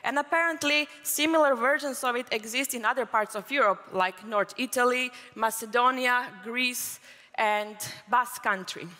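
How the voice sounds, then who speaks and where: Bright-voiced woman giving a conference talk. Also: on the high side, normal speed. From Croatia. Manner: fluent, explanatory, with occasional fillers. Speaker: conference speaker. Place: large conference hall. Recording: hall reverb from a conference stage.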